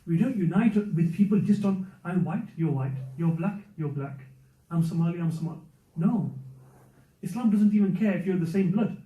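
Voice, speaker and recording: A man gives a conversational religious lesson, pressing his points by repeating them. His voice is dark and mellow, sitting a little high for a man. He sounds distant in a roomy, echoing space, and the recording is dull and muffled. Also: moderate pace. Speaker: youth circle teacher. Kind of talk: religious lesson to a youth and parents circle. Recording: muffled, echoey room, speaker away from mic.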